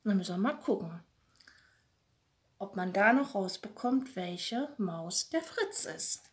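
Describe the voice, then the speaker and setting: A middle-aged woman gently reading a bedtime story for children, speaking softly and directly to her little listeners. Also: medium pitch, animated, slow, slight background noise. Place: small room at home. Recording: roomy, echoey home recording.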